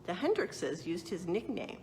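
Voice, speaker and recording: A woman's voice: on the low side, very animated, unhurried, darkish tone, slight background noise. A woman reading a picture book aloud expressively, adding explanatory asides and questions for young listeners. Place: museum exhibit space.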